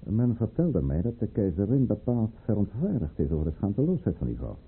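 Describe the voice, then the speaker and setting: Silky conspirator of high rank, husky, very varied intonation, formal and probing, thin old radio-play sound. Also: male voice, sing-song, exaggerated voice. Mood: suave, pointed. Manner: measured, probing, formal and insinuating. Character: scheming, silky high-ranking male courtier conspiring against the tsar. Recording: muffled, narrow-band old radio recording.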